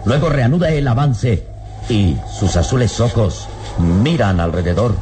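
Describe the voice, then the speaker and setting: A man's voice: mid-range voice, expressive, a little slow, background noise. A man narrating a scripted radio serial in a suspenseful, declamatory manner. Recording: dramatic music behind old radio serial.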